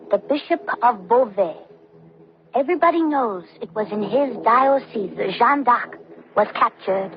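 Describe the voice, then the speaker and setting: A hissy, muffled old radio recording carries a devout young prisoner of nineteen. Her voice is high, and she speaks with defiant, righteous conviction in formal, declamatory phrases. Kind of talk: 1970s radio drama acting. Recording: dull, very noisy old radio broadcast recording.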